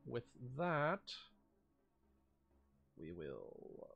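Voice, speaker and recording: A man's voice: mid-range voice, wildly swooping pitch, gravelly, phone-quality sound, soft background music. A man running a tabletop game as game master, speaking casually and hesitantly as he narrates. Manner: hesitant, halting delivery.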